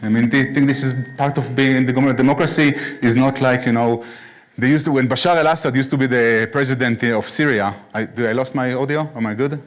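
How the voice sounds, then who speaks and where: A man with an Israeli accent presents to a class, earnest and steady in a middle register. He slips in bits of dry, deadpan humor between his serious points. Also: medium pitch, fast talker. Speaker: guest lecturer. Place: synagogue chapel.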